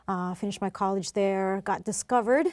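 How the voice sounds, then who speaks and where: A woman's voice: medium pitch, moderate pace, darkish tone, dry recording. Female interview guest, mid-range voice, casual and chatty storyteller, dry close sound. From Philippines and New Zealand. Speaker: interview guest, singer and worship director.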